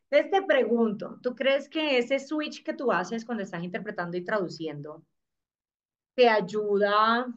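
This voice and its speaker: A woman's voice: mid-range voice, dull audio. A woman hosting an interview, asking curious, encouraging questions in a casual conversational way. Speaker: podcast host.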